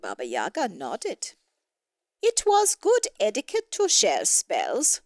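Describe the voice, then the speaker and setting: A woman narrating a scripted fairy tale with expressive, deliberate emphasis. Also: mid-range voice, no room sound, clean studio sound. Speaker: story narrator.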